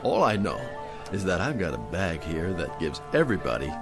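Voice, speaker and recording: An actor playing a tipsy, good-hearted, bewildered store Santa with florid, theatrical phrasing in radio drama style. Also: male voice, sits low, huge pitch swings, moderate pace, music in the background, full character voice. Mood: merry, bewildered.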